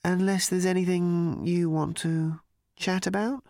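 A man's voice: high voice, little pitch movement, a little slow, vocal fry, darkish tone, no echo, clean studio sound, pitched above the performer's own voice. A man reading aloud, voicing a thin, anxious young male cricketer who speaks hesitantly and trails off. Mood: uneasy.

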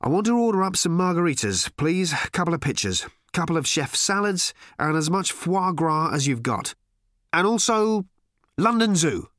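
A man's voice: on the high side, very animated, no echo, pitched above the performer's own voice. Breezy, pushy alien, as a man plays him, commanding, dry close sound. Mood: bossy. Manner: loud, brisk commanding. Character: brash, breezy male alien, bossy and cocky.

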